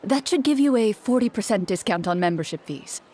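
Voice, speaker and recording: Woman acting a composed, medium-pitched dignified duelist. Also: animated, darkish tone, lightly acted. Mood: composed, earnest. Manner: measured, formal. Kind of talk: video game voice acting.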